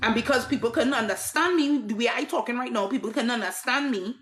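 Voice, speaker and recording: A woman's voice: a little high, fast, fairly bright tone, roomy sound. A woman from Trinidad who speaks with an American accent, telling her own story in a blunt, candid and raw way, with swearing and wry laughs. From Trinidad and Tobago. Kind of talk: personal testimony to camera.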